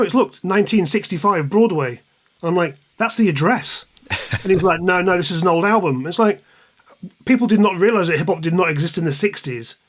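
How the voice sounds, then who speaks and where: A man in his fifties with an English accent, chatting anecdotally and with self-deprecation as an interview guest. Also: a little high. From England, East Midlands. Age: 50s. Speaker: guest, music journalist and author. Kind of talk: casual reminiscing in an interview.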